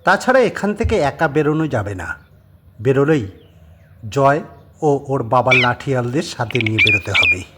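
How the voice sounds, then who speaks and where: A man tells a horror audio story in dramatic narration, his medium-pitched voice moving in lively swings. Clear noise sits behind the reading. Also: moderate pace, long pauses. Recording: clearly noisy background.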